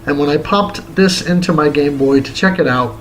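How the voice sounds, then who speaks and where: Higher-voiced man reading a scripted game review. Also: moderate pace, fairly bright tone, slight background noise, low-quality recording. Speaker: host of a retro game review series. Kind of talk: scripted review read aloud as narration.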